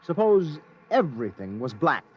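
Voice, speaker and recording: An actor playing a persistent, earnest newspaper reporter asking probing questions in an old-time radio drama. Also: male voice, a little high, very animated, moderate pace, darkish tone. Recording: muffled vintage radio recording.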